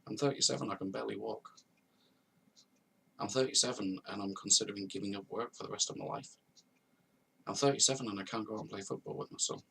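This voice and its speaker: A man in his thirties speaks in a lowish, gravelly voice, earnest and confessional, hammering points home by repeating them. He sits a little back from the mic, with a faint hum of noise behind him. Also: lively intonation, quick pace, long pauses, a bit bright, far from the mic, poor audio. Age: 30s. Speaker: vlogger telling his own story. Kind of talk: personal testimony to camera. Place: at home, on a video call setup.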